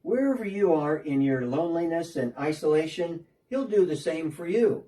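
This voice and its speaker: Short, earnest tax collector recounting his change of heart , played by a man, lively up-and-down delivery, mellow tone, miked from a distance. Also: on the high side, normal speed, close to an everyday voice. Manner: earnest storytelling, talking as if on a phone call. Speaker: dramatic reader. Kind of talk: first-person dramatic monologue.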